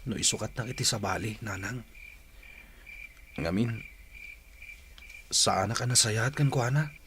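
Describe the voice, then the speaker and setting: A man's voice: lowish voice, measured pace, a bit bright. A man playing a lovesick, regretful young student in an audio drama, with an Ilocano Filipino accent. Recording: noisy track with chirping insects.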